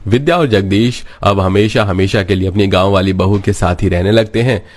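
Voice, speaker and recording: A man's voice: low-pitched, normal speed. A man narrating a scripted cartoon moral story as its storyteller.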